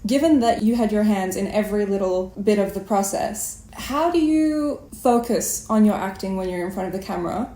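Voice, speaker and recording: A woman hosting a conversational arts interview, attentive and measured, with deliberate phrasing. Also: medium pitch, dark tone. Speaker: interview host. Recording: roomy, echoing sound.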